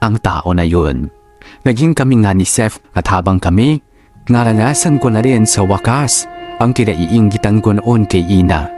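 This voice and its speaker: A man with a Filipino accent narrating a dramatised first-person love letter as a radio drama storyteller. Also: lowish voice.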